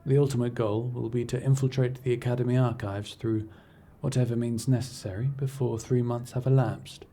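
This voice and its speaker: A man playing a cool, imperious scholar-agent in a fantasy audio roleplay, speaking in a formal, measured, dryly condescending way. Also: medium pitch, level tone, warm tone, close to an everyday voice. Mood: cool, commanding. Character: cool, imperious male scholar-agent serving an arcane archivist patron. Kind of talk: scripted audio roleplay acting, addressing the listener.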